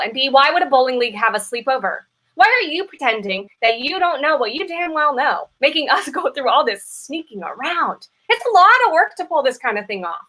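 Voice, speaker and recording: An actress plays a guilt-ridden mistress with a fairly high, bright, forceful voice. She is earnest and self-dramatizing, as in a comic stage play, pressing every confession with emphasis. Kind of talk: live play reading, comedic stage acting.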